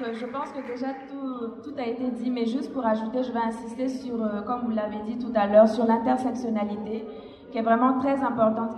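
A woman's voice: on the high side, darkish tone. A woman giving spontaneous panel remarks, a little hesitant and repeating herself as she explains. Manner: repetitive, hesitant. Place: public panel in a hall. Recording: echoey reverberant hall, dull sound.

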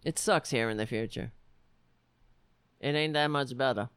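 A woman hosting a political livestream with a worn, raspy voice, talking bluntly and sarcastically while reading the chat. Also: rumbling low, normal speed, no room sound. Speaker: livestream show host.

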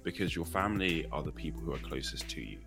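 A man's voice: rumbling low, animated, fast, gravelly, over music. A man in a casual panel discussion, explaining his point informally with conversational asides.

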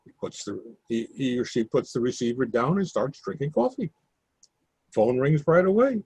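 A man's voice: mid-range voice, lively intonation, moderate pace, darkish tone, breathy edge, no echo. A man reading a play's stage directions aloud in a plain, matter-of-fact way.

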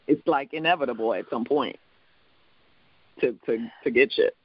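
A comedian from the Virgin Islands with a mixed island, Midwestern and New York accent, chatting loosely in an interview, rambling, laughing and swearing casually. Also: male voice, medium pitch, moderate pace, slightly rough, no room sound, lo-fi sound. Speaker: comedian and show producer.

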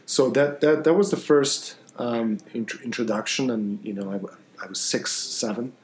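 A man from Croatia, a guitarist being interviewed, who talks casually. His answers come out halting, with frequent restarts and fillers. His pitch sits in a middle range. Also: a little hiss or hum. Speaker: guitarist guest. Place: busy festival venue, stone hall.

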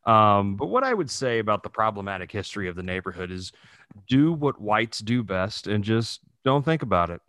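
A man's voice: fairly low pitch, sing-song, normal speed, sharp tone, no room sound. A man chatting casually as a podcast co-host, slangy, bantering and profane. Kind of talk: casual chat.

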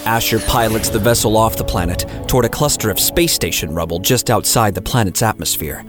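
A man's voice: lowish voice, fairly quick. A man reading scripted, dramatic story narration for an audio drama. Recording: music playing behind the voice.

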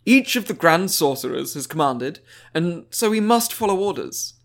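A man's voice: on the high side, expressive, moderate pace, creaky, fairly bright tone. A man voicing a crafty female council official in an audiobook, falsely chummy, breezy and patronizing. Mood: breezily chummy. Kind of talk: audiobook character dialogue.

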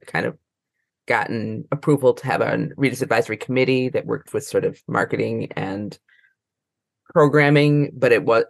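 A woman gives informal interview answers as a librarian. Her voice has a lot of fry and is recorded dry, without room sound. She explains haltingly, restarting and circling back as she goes. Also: extremely low pitch, no echo. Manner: halting, circling explanations with many false starts.

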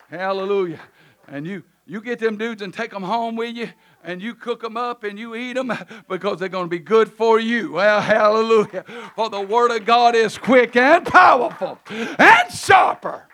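Loud preacher, high bright voice. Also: male voice, unhurried. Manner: loud, preaching, swings from shouting to quiet asides. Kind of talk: sermon in church service. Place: church sanctuary.

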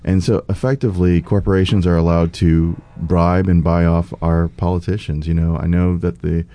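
Deep, gravelly-voiced man. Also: little pitch movement, normal speed, warm tone. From United States. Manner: earnest, with frequent fillers and restarts. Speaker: political candidate guest. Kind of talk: conversational interview.

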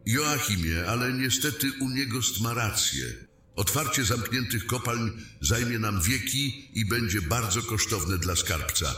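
A man's voice: on the low side, lively intonation, a little slow, pushed and bright. Man playing a formal, commanding fantasy king in echo. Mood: grave. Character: measured, decisive fantasy king chairing his war council. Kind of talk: fantasy audio drama acting. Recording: roomy echo with faint noise.

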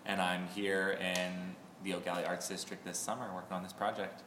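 A man's voice: sits low, flat delivery, normal speed, a bit bright. A young American man talking casually as an interview guest. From Virginia, United States. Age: young adult. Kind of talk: casual introduction. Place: artist's studio. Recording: echoey room, faint noise.

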